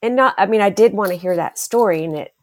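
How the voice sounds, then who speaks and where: A woman interviewer speaking encouragingly and haltingly, with many ums and restarts. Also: mid-range voice, no echo. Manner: warm, affirming, hesitant with many fillers. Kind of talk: casual conversation.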